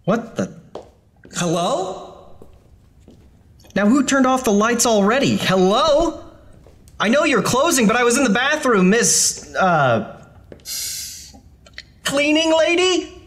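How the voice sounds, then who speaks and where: A big-city architect , played by a man, in an audio horror drama. He speaks slowly and warily, his pitch rising and falling widely, and he sounds uneasy, alone in a dark library. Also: sing-song, full character voice. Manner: skeptical and uneasy, turning to panicked pleading.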